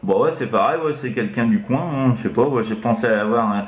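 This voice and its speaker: A man's voice: mid-range voice, quick pace. A man having a casual CB radio chat as an operator, rambling and hesitant, repeating little filler tags. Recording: echoey room.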